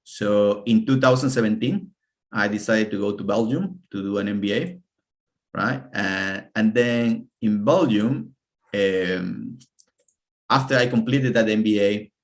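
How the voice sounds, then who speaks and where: Man with a Peruvian Spanish accent, low voice, earnest webinar talk, repeats words, video call. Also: measured pace. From Peru. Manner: earnest, repeats words while searching for them. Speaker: webinar presenter. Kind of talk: live online presentation.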